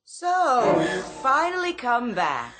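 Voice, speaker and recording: An actress playing a haughty living mannequin in a radio drama, condescending and drawing out her words deliberately. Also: on the high side, big pitch swings, rough recording. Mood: condescending, eerie. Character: haughty, knowing female mannequin come to eerie life. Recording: radio drama with clear music underneath.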